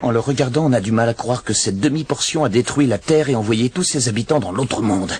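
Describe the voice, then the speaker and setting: A man dubbing a grim, scornful sci-fi avenger with measured, contemptuous menace. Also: mid-range voice, a little mellow, close to an everyday voice. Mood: scornful, vengeful. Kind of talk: dubbed film acting. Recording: clearly noisy film clip.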